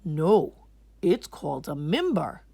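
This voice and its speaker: A woman playing a calm, instructive father in a children's storybook, explaining in a measured way. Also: low voice, gravelly, slightly rough, dry recording, full character voice.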